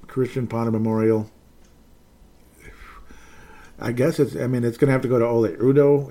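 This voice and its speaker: A man's voice: mid-range voice, warm tone. A man hosting a casual sports podcast, rambling and correcting himself with wry asides. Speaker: podcast host.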